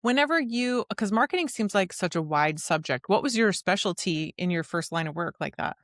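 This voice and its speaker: A woman's voice: medium pitch, expressive, brisk, a bit bright, dry recording, clean studio sound. An American woman hosting an interview, enthusiastic and gushing, laughing often between questions. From United States. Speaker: podcast host. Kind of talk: interview chat.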